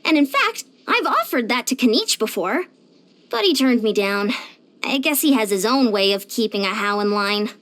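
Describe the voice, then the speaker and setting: A woman voicing a short, determined, energetic young female fitness coach in a video game, earnest and plainspoken. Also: a little high, normal speed. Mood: mildly disapproving. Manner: earnest, plainspoken, chatty, confident. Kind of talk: animated video game character voice lines.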